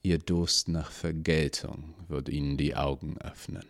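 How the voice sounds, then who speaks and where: A man's voice: rumbling low, little pitch movement, measured pace, no room sound, clean studio sound. A man doing a cold, cynical cult leader. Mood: cynical calm.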